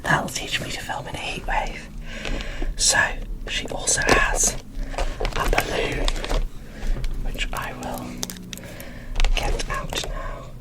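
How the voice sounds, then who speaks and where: Slow whispering woman, ASMR doll unboxing style. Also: rumbling low, huge pitch swings, poor audio. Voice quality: breathy whisper. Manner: unhurried, gushing, informal. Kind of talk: whispered ASMR, casual chatty commentary.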